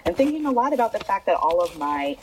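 A woman whose first language is Hebrew, an author speaking in an interview in a thoughtful, hesitant way with many pauses and restarts. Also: medium pitch, sing-song, slight background noise. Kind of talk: reflective conversational interview. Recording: dull lo-fi remote audio.

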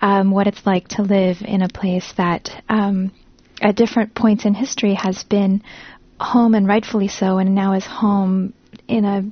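A woman hosting a radio interview, asking measured, thoughtful questions with a few fillers. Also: medium pitch, level tone, no echo, highs cut off. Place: radio studio.

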